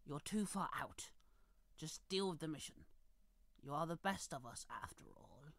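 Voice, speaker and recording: Audio drama goat-man alchemist, man acting, raised pitch, meek, sly, hushed, oily, dry sound. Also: normal speed, no echo, close to an everyday voice. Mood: sly, placating.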